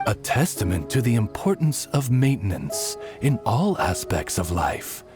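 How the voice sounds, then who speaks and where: Formal moralizing game character, mid pitch but very animated intonation, music behind. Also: male voice, a little slow. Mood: formal, approving. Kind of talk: video game English dub acting.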